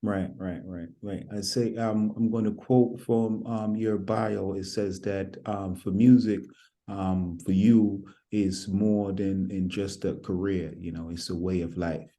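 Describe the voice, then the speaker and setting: A man hosting an interview, asking slow, drawn-out questions with many fillers. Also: low voice, steady tone, dark tone. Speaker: interviewer host. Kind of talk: casual interview talk.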